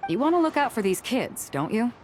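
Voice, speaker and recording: A woman acting a composed, shrewd, commanding woman in a video game, with a cool, self-assured and measured manner. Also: on the high side, very animated, a little mellow, slight background noise. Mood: firm, assured.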